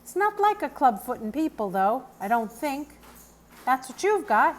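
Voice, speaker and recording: A woman voicing a gruff but kindly old English countryman who works as a stableman in a children's storybook. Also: sits high, very animated, a little slow, voice pushed up. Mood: friendly, instructive. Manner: plainspoken, rustic turns of phrase. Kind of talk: children's novel read aloud, character voice.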